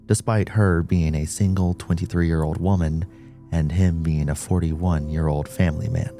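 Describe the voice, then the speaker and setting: A man's voice: low voice, warm tone. A man reading a scripted story as an audiobook narrator.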